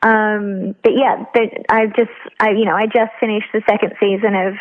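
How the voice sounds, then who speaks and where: A woman being interviewed, chatting informally and pausing to think as she answers. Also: mid-range voice, little pitch movement. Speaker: interview guest, actor and writer. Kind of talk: casual chat. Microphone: telephone. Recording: tinny, narrow phone line.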